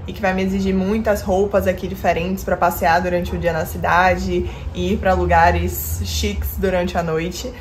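A woman's voice: on the low side, level tone, moderate pace, rough recording. Young woman, lifestyle vlogger, chatty step-by-step walk-through, phone mic in a small bedroom with faint room noise. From Bahia, Brazil. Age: 20s. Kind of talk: casual vlog chat. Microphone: phone camera at arm's length.

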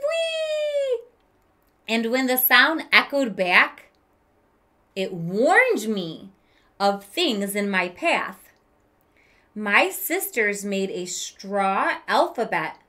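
A woman in her thirties reads a picture book to children. Her voice is bright and fairly high, and she takes her time. She tells it with drama and speaks directly to her young listeners. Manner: deliberate, dramatic storytelling with questions to young listeners. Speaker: teacher reading aloud to children. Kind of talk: expressive children's storybook read-aloud with asides. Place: small room with bookshelf, indoors.

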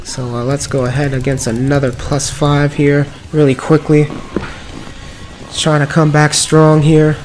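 A man with a slightly raspy voice from a cold, giving upbeat, rambling commentary over mobile card game play. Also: a little high, completely flat delivery, a little slow, darkish tone. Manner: upbeat, filler-heavy running commentary. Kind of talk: casual gameplay commentary. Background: background music.

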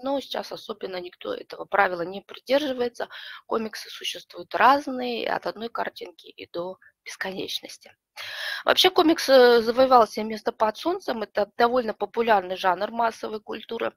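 A middle-aged woman presenting an explanatory online lecture, hoarse from a cold and pausing often to choose her words. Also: medium pitch, no room sound. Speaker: webinar presenter, librarian and teacher. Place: small room with bookshelves, indoors.

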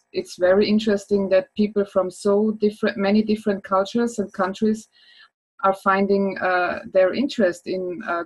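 A woman's voice: mid-range voice, slightly rough, low-quality recording. Hesitant woman on a video call. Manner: hesitant, many fillers and restarts. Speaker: educator sharing her project. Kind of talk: casual video-call talk. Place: home room with bookshelves. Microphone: laptop webcam mic on a video call.

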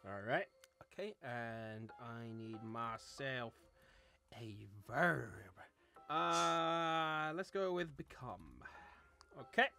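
Guy running a party word game, teasing his pals, slow-paced, faint music behind. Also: mid-range voice, creaky, bright, forceful tone. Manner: playful, teasing. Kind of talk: casual group game banter.

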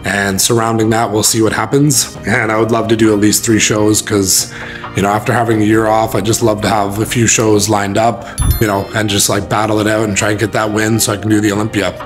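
A bodybuilding coach in his thirties talks to camera from a small, bright room, his fairly low voice slightly raspy from a lingering cold. He rambles easily, leaning on fillers as he goes. Quiet music sits underneath. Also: fairly quick. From Canada. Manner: relaxed and rambling, many fillers. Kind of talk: casual talk to camera.

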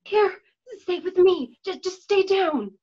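A woman's voice: very high-pitched, lively intonation, slow pace, a little mellow, heavily acted. An actress playing a frantic, protective, pious frontier mother in a Western radio play. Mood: shaken, protective. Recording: dull home video call audio.

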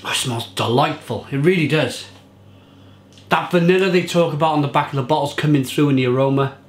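A man's voice: fairly high pitch, brisk. Chatty man reviewing beer in echoey kitchen. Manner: chatty, enthusiastic, restarts and fillers. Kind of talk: casual review to camera. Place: home kitchen indoors. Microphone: fixed camera at table.

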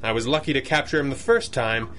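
An actor playing a grouchy, commanding masked crime-fighter with dry, formal speech in a 1930s-style radio drama. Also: male voice, mid-range voice, lively intonation, fast, bright, forceful tone, a little hiss or hum. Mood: grave, urgent.

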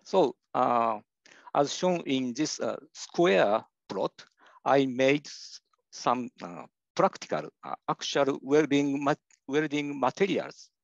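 An older man with a Japanese accent, a professor giving a slide lecture in English, halting often with "uh" and restarting his sentences. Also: medium pitch, lively intonation, creaky, no echo. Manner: hesitant, many "uh" fillers and restarts. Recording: dull lo-fi dry recording.

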